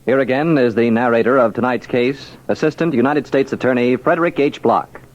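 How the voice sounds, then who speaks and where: Male 1940s radio announcer and host: mid-range voice, scripted delivery, low-fidelity sound. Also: brisk. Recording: dull old radio recording.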